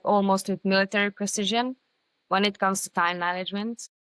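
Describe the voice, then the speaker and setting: A woman's voice: lowish voice, a bit bright, smooth, no room sound, poor audio. A young woman with Hungarian and Serbian as her first languages, chatting thoughtfully as a podcast guest and often restarting words. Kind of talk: casual interview chat.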